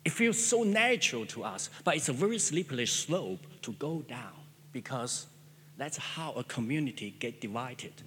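A man's voice: mid-range voice, sing-song, normal speed, a bit bright, in a large hall, slight background noise. A man whose first language is Chinese, preaching a sermon as a pastor in an earnest way, repeating phrases with stammering restarts.

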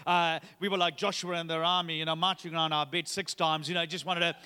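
Guest preacher in his thirties, born in Sri Lanka, high and bright voice, chatty delivery full of fillers, long hall reverb. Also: level tone, quick pace, clean tone. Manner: upbeat, chatty, many "uh" fillers. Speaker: guest pastor. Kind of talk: sermon with personal story. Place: church auditorium.

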